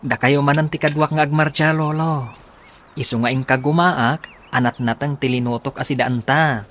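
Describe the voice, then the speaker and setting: An actor playing an affectionate, cheerful young graduate in a radio drama, speaking eagerly and warmly. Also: male voice, mid-range voice, fairly even intonation, normal speed, close to an everyday voice. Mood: caring. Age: young adult.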